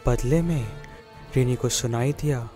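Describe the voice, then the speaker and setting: A man's voice: mid-range voice, unhurried. A man giving scripted narration of a spooky children's story. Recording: clear music behind the voice. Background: background music.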